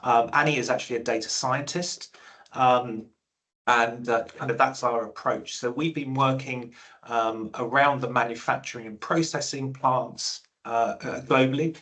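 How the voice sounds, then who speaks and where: A man's voice: medium pitch, steady tone, moderate pace, a bit bright. A man hosting a webinar on a video call, welcoming the audience and introducing the speaker with many fillers. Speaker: webinar host.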